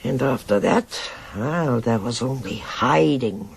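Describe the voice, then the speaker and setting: A woman's voice: very deep voice, takes it slowly, vocal fry, husky, exaggerated voice. An actress playing a worldly, nostalgic old Berlin woman in a radio drama, theatrical and frank as she reminisces. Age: older. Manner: theatrical, rambling reminiscence with sighs and interjections.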